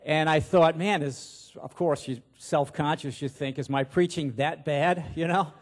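A man's voice: on the high side, fairly even intonation, measured pace. A man in his sixties, a pastor, giving an informal sermon in a hesitant, self-deprecating, folksy way into a handheld microphone in a church auditorium. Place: large church auditorium, on stage at a pulpit.

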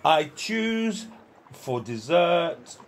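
Man acting a peevish older gentleman, very animated. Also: fairly high pitch, a little hiss or hum, exaggerated voice. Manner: exasperated, deliberate, emphatic. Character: peevish, competitive older man relishing an imagined lunch. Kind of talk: amateur radio play acting.

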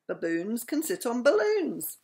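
A woman's voice: on the high side, huge pitch swings, a little slow, darkish tone, voice pushed up. An older woman voicing a bossy, eager male frog in a picture book. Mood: matter-of-fact.

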